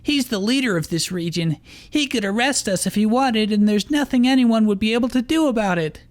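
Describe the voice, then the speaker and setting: A man's voice: high voice, fairly quick, no room sound, voice pushed up, lightly acted. A man voicing a cocky, hot-headed young woman trainer who sounds indignant and snarling. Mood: worried, indignant.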